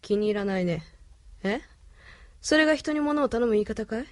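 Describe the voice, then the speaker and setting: A woman's voice: on the high side, animated, moderate pace, close to an everyday voice. An actress playing a tough-talking, sneering but loyal young street punk woman in a Japanese anime audio drama. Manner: sneering, rough-spoken.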